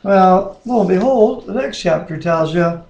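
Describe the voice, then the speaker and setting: A man with a slightly high voice speaks as co-host of a home Bible reading. His manner is earnest, reverent and plain. The webcam microphone picks up a very echoey room around him. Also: lively intonation, unhurried, vocal fry, a little mellow, dull audio. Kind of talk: casual devotional talk, prayer and scripture reading. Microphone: webcam microphone at a distance.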